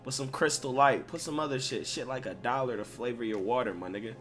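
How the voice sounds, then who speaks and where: Man, mid-pitched, slangy gaming commentary, constant swearing, emphatic, music behind. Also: fast talker, fairly bright tone. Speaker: gaming video creator and streamer. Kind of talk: casual profane video commentary.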